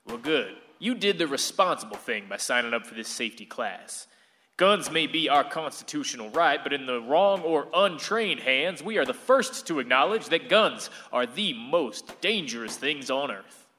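An actor playing a glib, showy gun-safety instructor addressing a class in a radio play. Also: male voice, mid-range voice, expressive, moderate pace, pushed and bright, slight background noise. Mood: smooth, commanding. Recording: reverberant hall sound.

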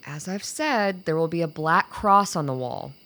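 An actress playing a stern, unflappable resistance operative in a radio play, giving orders in a deliberate, commanding way. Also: female voice, lowish voice, lively intonation, fairly bright tone. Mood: stern, patient.

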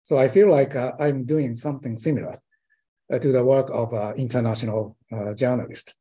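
A man's voice: medium pitch, fairly even intonation, brisk, mellow, husky. An older man with a Japanese accent, a professor answering interview questions hesitantly, with many fillers and restarts. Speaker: guest professor.

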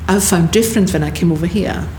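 A woman's voice: lowish voice, fast, dark tone. A middle-aged woman with a Swedish accent, talking casually as an interview guest, thoughtful and hesitant with many fillers. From Sweden. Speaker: guest, Lord Provost. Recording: echoey room, faint background noise.

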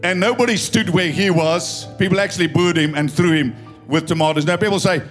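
A man's voice: high-pitched, brisk, soft background music. A South African man in his fifties, a pastor preaching an emphatic, story-driven sermon with a tired voice. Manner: emphatic, storytelling, swelling to loud proclamation. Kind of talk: impassioned sermon mixing English and Afrikaans. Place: church.

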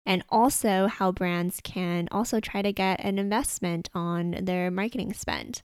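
Upbeat woman podcast host, smooth voice. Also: medium pitch, fairly even intonation, measured pace, no echo, studio quality. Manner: upbeat and enthusiastic. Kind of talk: podcast interview.

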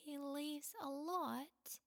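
A woman whispering as a soft-spoken female bird. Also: sits high, steady tone, very slow, vocal fry, dry recording, clean studio sound, pitched above the performer's own voice, full character voice. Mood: hushed. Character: gentle, thoughtful female bird.

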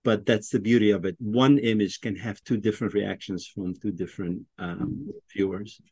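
A man's voice: fairly low pitch, fairly even intonation, moderate pace, noisy background. A man from Egypt, a pathologist and podcast guest, talking through his career in a thoughtful way with many fillers and restarts. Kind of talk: casual interview talk.

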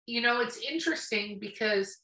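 A woman podcast host chats casually with blunt opinions and plenty of swearing. Her voice is on the high side and very bright. It sounds roomy, with clear echo around it. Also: normal speed. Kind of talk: casual chat. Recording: roomy echo, distant from mic.

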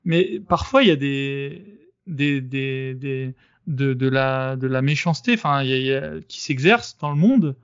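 A man hosting a podcast, arguing in a casual debate and often pausing with fillers and restarts. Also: mid-range voice, lively intonation, smooth, no room sound, muffled recording. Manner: hesitant, many fillers and restarts. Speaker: podcast host.

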